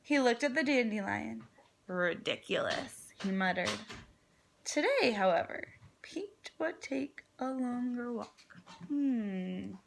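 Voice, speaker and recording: A woman with a fairly high, slightly creaky voice reads aloud to small children. She takes it very slowly, with expressive pauses, and speaks encouragingly like a kindergarten teacher. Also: slight background noise. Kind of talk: children's read-aloud with prompting questions.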